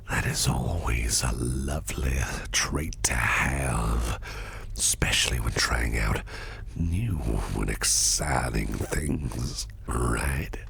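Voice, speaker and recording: A man playing a shady businessman boss in an ASMR roleplay, flirtatious and protective, murmuring in a whispery gravelly voice with slow, teasing deliberation. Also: a bass voice, a bit bright, slight background noise, heavily acted. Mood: pleased, approving. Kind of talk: ASMR roleplay, close personal attention.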